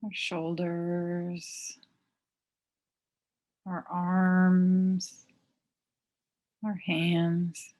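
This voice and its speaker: A woman leads a guided meditation at a very slow pace, leaving long pauses between phrases. Her voice sits on the low side, and her delivery is gentle, like a mindfulness teacher giving instructions. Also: monotone.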